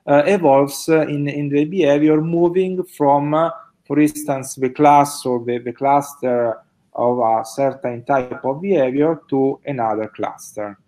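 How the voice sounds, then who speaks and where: A man's voice: mid-range voice, fairly even intonation, unhurried, mellow. A middle-aged man answering audience questions on a video call, speaking hesitantly with many fillers and restarts. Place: indoors at home. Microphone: video call webcam.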